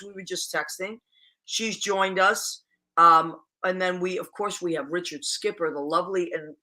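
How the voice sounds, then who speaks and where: A middle-aged woman with a bright, forward voice hosts a chatty home webcast. She speaks in animated, exclamatory bursts and keeps cutting in with questions. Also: lowish voice. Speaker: talk show host. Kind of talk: casual interview chat. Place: home room, indoors.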